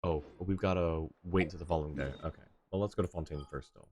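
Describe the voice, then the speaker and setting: Low-voiced man rambling on a game stream. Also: fast talker, a little mellow, a little hiss or hum. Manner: rambling, thinking aloud. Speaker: livestreamer host. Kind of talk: casual chat while playing a game. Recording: game music behind the voice. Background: video game music and character voices.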